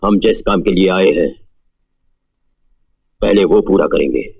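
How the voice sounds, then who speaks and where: A man's voice: low-pitched, vocal fry, slightly rough, heavily acted. A man playing a sinister, imperious snake-charmer guru, pronouncing his lines pompously in a melodramatic film spoof. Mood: solemn, commanding. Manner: pompous, ominous, drawn-out pronouncements. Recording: dull lo-fi sound with music underneath.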